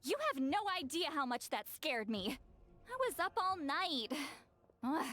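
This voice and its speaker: Grumpy sleepy game girl, high bright voice, slow weary drawl. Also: animated, full character voice. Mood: drowsy, grumbling. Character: sleepy, grumpy young woman, aloof and blunt. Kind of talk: video game voice acting.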